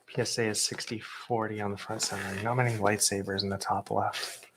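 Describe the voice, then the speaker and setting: Low-key man unboxing graded cards. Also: brisk, noisy background. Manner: low-key and understated, self-described as not excitable. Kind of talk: casual unboxing commentary.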